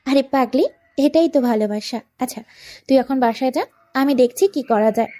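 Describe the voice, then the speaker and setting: A woman's voice: high voice, normal speed, mellow, lightly acted. A woman playing a bossy but caring young best friend in a romantic audio story, with a direct way of talking. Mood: caring, insistent.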